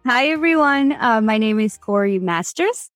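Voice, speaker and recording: A woman's voice: on the high side, lively intonation, normal speed, faint music behind. Chatty woman with an Argentine accent. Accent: Argentine Spanish. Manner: chatty, rambling, many fillers and restarts. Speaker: podcast guest. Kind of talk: casual storytelling interview.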